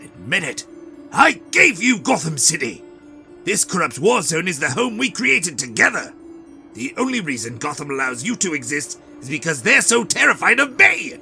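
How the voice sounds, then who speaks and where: A man voicing a manic, taunting male clown villain in a fan-dubbed motion comic, theatrical and swinging from shouts to hushed tones. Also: a little high, big pitch swings, normal speed, creaky, soft background music, heavily acted.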